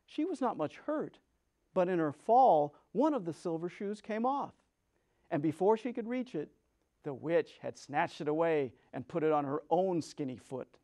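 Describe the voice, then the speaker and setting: High-voiced man reading a storybook dramatically. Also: moderate pace. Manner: theatrical, expressive emphasis.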